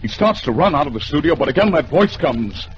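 A man's voice: on the high side, big pitch swings. Dramatic American man narrating old radio thriller. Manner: theatrical, suspenseful, measured phrasing. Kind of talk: dramatic 1950s radio storytelling. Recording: noisy, dull vintage radio recording.

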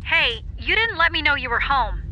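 A woman's voice: sits high, very animated, normal speed. A woman playing a concerned loved one in an audio drama, heard over a car phone speaker. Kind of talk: naturalistic audio drama acting. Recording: dull car phone line, road noise.

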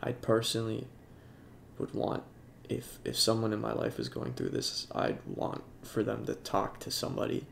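A young man with a congested voice, talking in a halting, soft-spoken way with many fillers over game footage. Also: fairly low pitch, flat delivery, creaky, a little hiss or hum. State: congested, sniffling. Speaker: YouTuber. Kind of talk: casual talk over gameplay.

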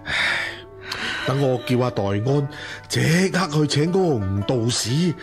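An actor playing a doting, anxious wealthy husband in a radio drama, given to sighing. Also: male voice, mid-range voice, expressive, unhurried, soft background music. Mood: tender, distressed. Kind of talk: Cantonese radio drama acting.

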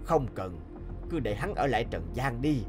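A man plays an old supreme God from a cartoon heaven. He is calm, stern and solemn, speaking with measured authority over music. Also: medium pitch, close to an everyday voice. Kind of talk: cartoon voice acting.

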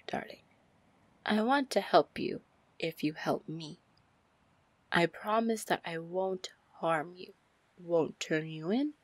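A woman playing a confident, teasing female pirate captain in an ASMR fantasy roleplay, flirtatious and commanding, with a husky, gravelly voice. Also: low-pitched, no echo, full character voice. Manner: slow, drawling, flirtatious terms of endearment.

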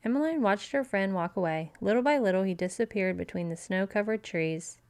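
A woman narrating a children's storybook in an expressive, scripted read-aloud style. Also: medium pitch, a little fast, clean tone.

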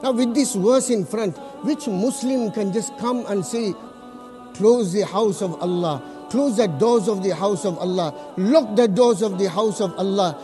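A male imam delivers a Friday sermon in a high, light voice. He preaches earnestly, reciting scripture quickly and repeating phrases to drive a point home. Soft music sits faintly behind him.

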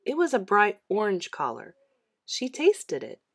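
A woman reads a children's story aloud as narrator. Her mid-pitched voice moves expressively up and down through the sentences. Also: huge pitch swings, measured pace, fairly bright tone, breathy edge.

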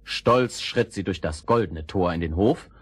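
A man narrating a fairy tale in an expressive storytelling style. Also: low voice, measured pace, slight background noise. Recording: old audio play with music.

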